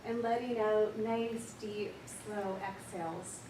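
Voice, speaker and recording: A woman counsellor with a quiet voice leading a slow, gentle guided meditation for a workshop audience. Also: medium pitch, steady tone, background noise. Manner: soft, slow, gentle and unhurried. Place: large echoey room with an audience. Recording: echoey room recorded from far off.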